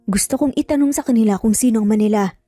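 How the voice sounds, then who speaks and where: A woman voicing an earnest male lawyer in a dramatic story, in a gentle, pleading manner. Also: on the high side, fast talker, dry recording, faint music behind, lightly acted.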